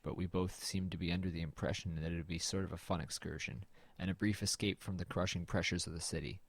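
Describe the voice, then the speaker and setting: A man's voice: sits low, no echo. A man playing a weary, wry young man in an audio drama, narrating and talking in an understated, brooding way. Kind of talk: scripted first-person narration and low-key dialogue in an audio drama.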